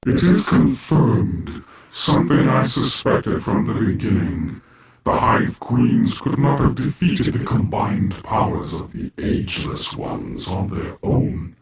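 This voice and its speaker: An actor playing a scheming aristocratic villain in a fantasy game, gravelly, measured and haughty, with dry formal diction. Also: male voice, lowish voice, sing-song, exaggerated voice. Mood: brooding, calculating. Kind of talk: theatrical fantasy game cutscene acting. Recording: very noisy game cutscene audio.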